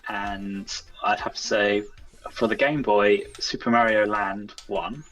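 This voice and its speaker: Low-voiced man chatting as interview guest. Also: fairly even intonation, slow pace, a bit bright, low-quality recording. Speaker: indie game developer, interview guest. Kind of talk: casual interview answers about own work.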